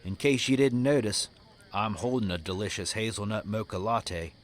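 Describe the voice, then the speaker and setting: A man's voice: lowish voice, normal speed, close to an everyday voice. A man playing a tough, sardonic cowboy hero in a comic Western audio drama. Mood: dryly threatening.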